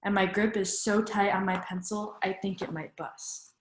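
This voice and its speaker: A woman's voice: mid-range voice, fairly even intonation, echoey room, slight background noise. A woman reads a children's picture book aloud like a teacher, in an instructive manner that invites young listeners to think along. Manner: instructive, pausing to prompt listeners with questions. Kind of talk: storybook read-aloud with questions to young listeners.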